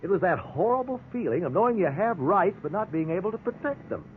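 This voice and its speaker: A man's voice: high voice, big pitch swings, fast. An American man acting in a 1950s radio drama as an ordinary, good-humored fellow telling of his wrongful arrest. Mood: rueful. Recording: tinny, hissy 1950s radio recording.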